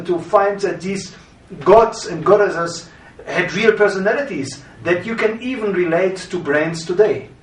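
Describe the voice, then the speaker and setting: A middle-aged man from Germany with a German accent, presenting a course introduction in a measured, explanatory way. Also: high-pitched. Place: studio with green-screen backdrop. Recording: roomy, from a distance.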